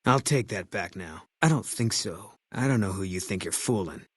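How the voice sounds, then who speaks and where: Terse, husky low-voiced brooding sniper. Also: male voice, normal speed, no echo, clean studio sound. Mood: terse, guarded. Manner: clipped, deadpan, guarded. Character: terse, brooding male military sniper companion. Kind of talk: video game character voice lines.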